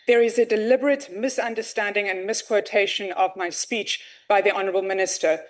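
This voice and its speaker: A woman's voice: mid-range voice, steady tone, a little fast. Assertive South African woman MP. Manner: formal, assertive, procedural. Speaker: member of parliament. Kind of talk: parliamentary speech and points of order.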